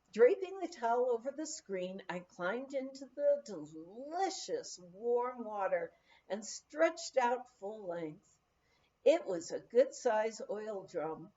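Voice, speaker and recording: Woman, audiobook reader, expressive storytelling, mellow soft-edged tone, animated swooping intonation, slightly muffled lo-fi sound. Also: medium pitch. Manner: animated storytelling with acted dialogue. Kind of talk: expressive reading of a humorous memoir.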